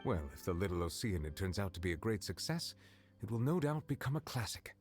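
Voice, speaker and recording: An actor playing a formal, courteous official of high rank in a video game, speaking in a measured, polite and reserved way. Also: male voice, sing-song. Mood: encouraging. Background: music.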